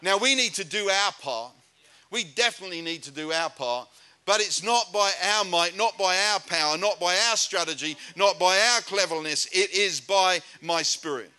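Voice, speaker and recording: A man from Britain delivers a sermon in a high, very bright voice. He talks loosely and conversationally, restarting phrases and hammering the same rhetorical question. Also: moderate pace, smooth. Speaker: preacher.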